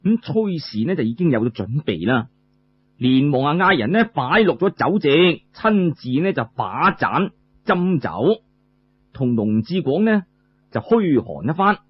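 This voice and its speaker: A man's voice: on the high side, sing-song, measured pace, slight background noise. A man with a Cantonese accent narrating a traditional tale in a colloquial, phrase-by-phrase way. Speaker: storyteller. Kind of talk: traditional oral storytelling narration. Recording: muffled lo-fi recording.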